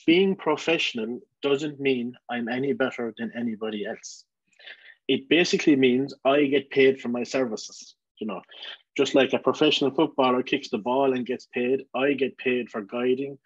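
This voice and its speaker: A man with an Irish accent giving an online talk as a guest photographer, relaxed with frequent ums. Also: medium pitch, no echo. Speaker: guest speaker, landscape photographer. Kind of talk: online lecture. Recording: dry, slightly dull sound.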